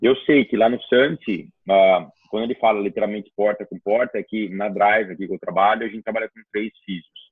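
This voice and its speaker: A man hosting a casual discussion, thinking out loud with frequent pauses and restarts. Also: lowish voice, steady tone, husky, no echo. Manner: hesitant, searching for words. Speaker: host, trainer. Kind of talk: casual video-call discussion. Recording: tinny phone-like call audio.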